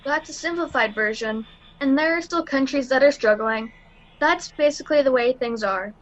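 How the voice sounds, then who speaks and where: A woman's voice: sits high, moderate pace, muffled recording, natural voice. A woman playing a reasonable, reassuring officer from Earth in a radio play. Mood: explaining.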